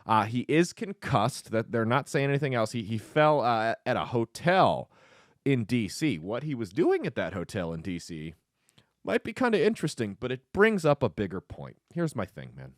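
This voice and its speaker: A man's voice: mid-range voice, very animated, normal speed, dry recording, clean studio sound. A man in his twenties hosting his own opinionated political commentary in a sarcastic, mocking way. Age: 20s.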